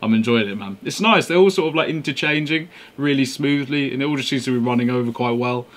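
A man's voice: mid-range voice, fast talker. A young man giving casual, slangy, laid-back commentary as a music reaction host, speaking in a bedroom. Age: young adult. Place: small bedroom indoors.

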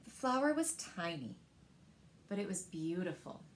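A woman in her thirties reading a picture book aloud to children in a gentle, deliberate manner, pausing to ask them questions. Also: fairly low pitch, very animated, a little hiss or hum. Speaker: library storyteller. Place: indoors, small living room by windows.

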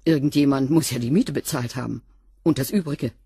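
A woman's voice: rumbling low, fairly quick, mellow, husky, exaggerated voice. An actress playing a curt, unfazed cleaning woman who answers bluntly and laconically in a radio crime drama. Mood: matter-of-fact.

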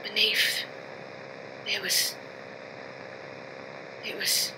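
A woman's voice: extremely low pitch, monotone, full character voice. A woman playing a frightened, grieving astronaut engineer in a science fiction audio drama, short of breath and speaking in broken sentences. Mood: weary, shaken. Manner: halting, broken sentences with repeated words. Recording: faint hum and noise behind.

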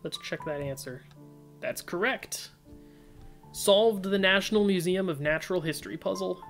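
A man's voice: sits high, moderate pace, soft background music. A young man streaming from his desk, casually thinking aloud as he solves puzzles and reads viewers' suggestions. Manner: reads chat suggestions aloud, tentative musing. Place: indoor room at desk.

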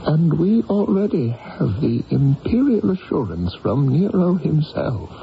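An actor playing a gentle, trusting, dying king in a radio drama, speaking weakly. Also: a little high, big pitch swings, measured pace, vocal fry, full character voice. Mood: weak, earnest. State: weak, dying delivery. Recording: dull, noisy vintage radio recording.

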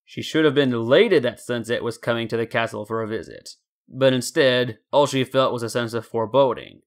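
Guy narrating a fanfic, medium pitch, deliberate dramatic storyteller delivery. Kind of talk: scripted fan fiction narration.

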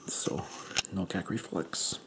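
A man voicing an eccentric, crude foreign male doctor in a deadpan whisper. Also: noisy background, close to an everyday voice. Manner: whispery, slow, deadpan joking. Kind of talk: comedic ASMR roleplay.

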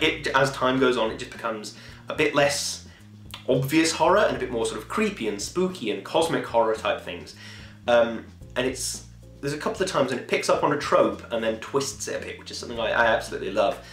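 A man in his twenties rambles casually and enthusiastically at a desk in a small, echoey room. His voice is medium in pitch, and he talks in a chatty, self-deprecating way that often wanders off track, with music behind him. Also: a bit bright. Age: 20s. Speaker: vlogger and podcast host. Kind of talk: casual rambling review to camera. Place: small home room, indoors.

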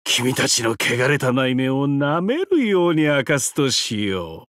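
A man's voice: mid-range voice, expressive, a bit bright, no echo, studio quality. An actor voicing a depraved, mocking trickster giant-god held prisoner in a game, theatrical and taunting, drawing out his words. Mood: smug, theatrical. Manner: theatrical, taunting, drawn-out words, sudden swoops and cackles. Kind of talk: theatrical game character voice lines.

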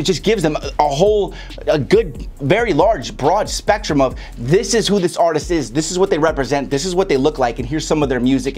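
Fast-talking young American man, casual and emphatic. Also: a little high, a little mellow. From Chicago, United States. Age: young adult. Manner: informal, slangy, emphatic, often checking in with the listener. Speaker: host of a music marketing video for rappers. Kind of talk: casual instructional talk to camera. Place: small home studio room, indoors.

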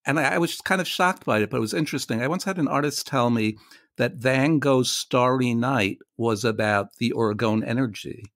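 A man's voice: medium pitch, normal speed, fairly bright tone, no echo, studio quality. A man interviewing for arts radio in a conversational, reflective way.

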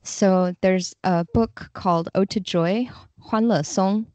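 A woman's voice: mid-range voice, slow pace, a little mellow, no room sound. A woman guest talking in a casual podcast interview, chatty and enthusiastic. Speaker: guest, literary translator.

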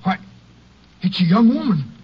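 A man's voice: high-pitched, very animated, measured pace, breathy edge, exaggerated voice. A man playing an easygoing railroad brakeman in an old-time radio drama, with slangy, folksy working-man talk. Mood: casual, uneasy. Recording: dull, hissy old-time radio recording.

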